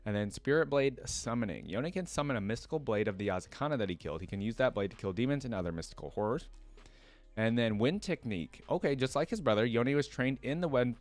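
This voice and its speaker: A man hosting a reaction video, reading lore aloud and breaking in with informal, off-the-cuff commentary as he thinks out loud. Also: medium pitch, no room sound. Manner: informal, thinks aloud between read passages.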